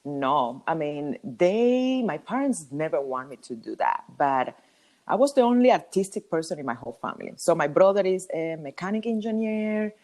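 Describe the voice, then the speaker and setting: A woman in her thirties with a Cuban Spanish accent, a native Spanish speaker, telling her story as a podcast guest with lively ums and laughs. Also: on the low side, moderate pace. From Cuba. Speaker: podcast guest, hair colorist. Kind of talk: casual interview talk.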